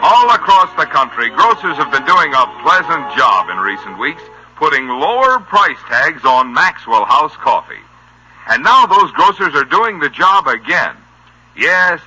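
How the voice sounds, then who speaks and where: An American male announcer delivers an old-time radio commercial. His voice is sharp and slightly husky, with lively rises and falls. The selling style is polished and folksy. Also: medium pitch, poor audio. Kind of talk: 1950s radio ad read.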